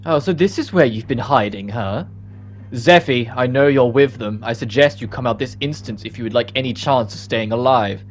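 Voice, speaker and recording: A man's voice: mid-range voice, moderate pace, natural voice. A man voicing a haughty, sly female knight commander in a game cutscene, with a condescending, taunting manner. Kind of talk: reading game cutscene dialogue.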